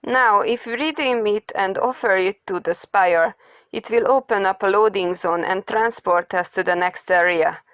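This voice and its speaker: A woman's voice: mid-range voice, moderate pace, a bit bright, no echo, close to an everyday voice. A woman voicing a weary, pedantic young male genius inventor in an amateur comic dub, speaking in a verbose, precise, pompous way. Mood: explaining.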